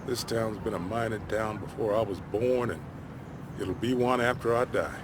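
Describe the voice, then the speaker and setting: An actor playing a blunt, folksy, world-weary middle-aged American ex-miner, friendly and wry, in naturalistic video game style. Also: male voice, lowish voice, normal speed, background noise, natural voice. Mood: weary, wistful.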